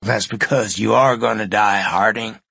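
A man voicing a vengeful older villain who taunts his victim in a slow, low, half-whispered gravelly voice. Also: bright, forceful tone, dry recording, full character voice. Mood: menacing. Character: cold, menacing old male avenger.

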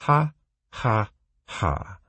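A man's voice: lowish voice, very animated, extremely slow, pushed and bright, slightly rough, no room sound, voice pushed up, heavily acted. A man voicing a smug nobleman who taunts in a grand, mocking manner.

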